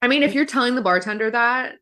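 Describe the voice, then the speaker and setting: A woman's voice: on the high side, fast, pushed and bright. A woman co-hosting a podcast in casual reactive chat, blunt and full of opinions. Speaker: podcast co-host.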